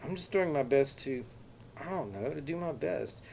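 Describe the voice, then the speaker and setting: A man's voice: on the high side, expressive, normal speed, creaky, a little mellow, slight background noise, voice pushed up. A man voicing a hesitant, cautious young woman.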